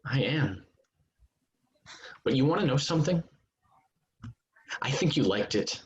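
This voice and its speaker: An actor in a staged drama reading, playing an intense, menacing older brother back from war who shifts between pleading and accusing. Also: male voice, medium pitch, lively intonation, measured pace, close to an everyday voice. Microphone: video call. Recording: muffled, noisy video call audio with clicks.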